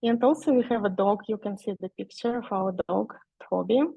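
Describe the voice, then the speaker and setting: A woman's voice: mid-range voice, creaky, warm tone, breathy edge, no echo, highs cut off. A woman in her forties from Russia, speaking with a Russian accent as a guest presenter on a webinar, with many hesitant fillers. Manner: hesitant, frequent "uh" fillers, slow and careful. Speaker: guest speaker, online seller.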